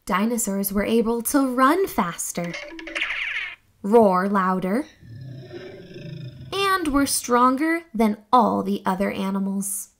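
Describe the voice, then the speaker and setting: A young woman hosting a children's read-aloud, reading a storybook in an expressive, deliberate, exclamatory way. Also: medium pitch, soft background music.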